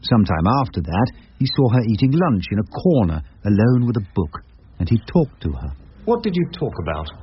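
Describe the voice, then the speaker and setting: An actor playing a shrewd, wry English barrister who narrates and questions with theatrical, articulate delivery in a radio drama. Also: male voice, fairly low pitch, very animated, brisk, husky, full character voice. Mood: pressing. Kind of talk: radio courtroom drama, narration and cross-examination. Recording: dull lo-fi radio recording, noisy.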